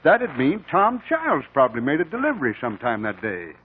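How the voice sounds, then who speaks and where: A man's voice: mid-range voice, wildly swooping pitch, fairly quick. An American man acting a folksy, dutiful country sheriff in a 1950s radio drama, plainspoken and reluctant but firm. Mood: cooperative. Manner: folksy rural speech, plainspoken. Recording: noisy, narrow-band old radio recording.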